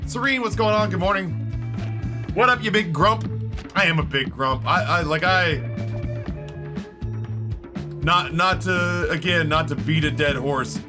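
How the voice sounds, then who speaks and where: A hoarse man chatting casually with his viewers while streaming a game, thanking supporters and cursing at setbacks. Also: high voice, measured pace, pauses often, over music. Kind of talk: live gameplay commentary.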